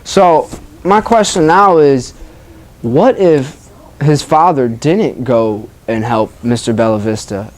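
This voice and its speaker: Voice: mid-range voice, slow. Teacher reading aloud and questioning a class. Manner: prompting children with questions, acknowledging their answers. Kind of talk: read-aloud storytelling and classroom discussion.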